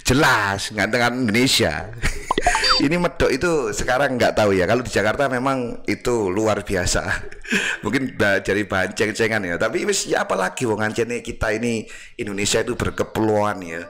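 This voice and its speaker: A man's voice: on the low side, lively intonation, moderate pace, fairly bright tone, slight background noise. A man hosting a casual commentary, chatty with laughing asides. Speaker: commentary host.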